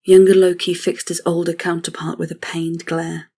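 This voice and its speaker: Husky, low-voiced woman narrating a story. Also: monotone, normal speed, warm tone. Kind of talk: scripted dramatic story narration.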